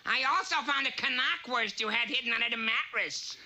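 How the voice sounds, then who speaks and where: A naive little tinker boy from a cartoon. The voice is extremely bright and sharp, with a timid, innocent manner. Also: fairly high pitch, moderate pace, rough recording. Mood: cheerful. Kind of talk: comic cartoon character acting.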